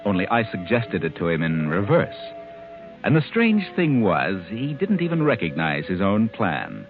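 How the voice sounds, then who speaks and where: Ominous all-knowing radio mystery narrator, sardonic, widely swooping intonation, thin vintage broadcast sound. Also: male voice, lowish voice, wildly swooping pitch, moderate pace, phone-quality sound. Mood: wry, knowing. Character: unseen sinister all-knowing storyteller who whispers plots to people. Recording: old 1940s radio recording with music.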